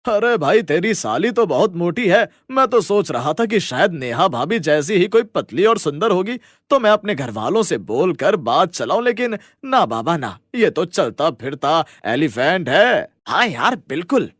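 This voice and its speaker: A man voicing a brash young man who mocks someone in a jeering, animated way in a story. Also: high voice, a little slow, few pauses, no echo, clean studio sound, exaggerated voice. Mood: mocking. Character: mocking young man, brash friend of a husband.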